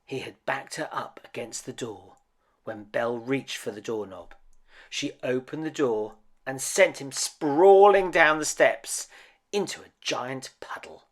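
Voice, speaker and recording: A man reading a picture book aloud to children as an expressive, dramatic storyteller. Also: medium pitch, a little slow. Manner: dramatic, with emphatic swells and hushed drops.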